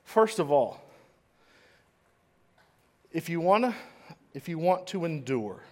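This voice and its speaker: A man preaching a sermon in a church, dramatic and swinging between loud and hushed, with a slight stutter at times. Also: fairly high pitch, big pitch swings, takes it slowly. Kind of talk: sermon storytelling. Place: church stage.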